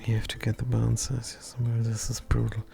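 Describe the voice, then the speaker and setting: A man's voice: mid-range voice, completely flat delivery, a little fast, gravelly, mellow, faint music behind. A man from Germany with a German accent, thinking aloud as he codes live and chats with his viewers in a wry, self-deprecating way. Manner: self-deprecating, wry, mutters half-finished lines. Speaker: live-streaming coder. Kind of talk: thinking aloud while coding, chatting with viewers.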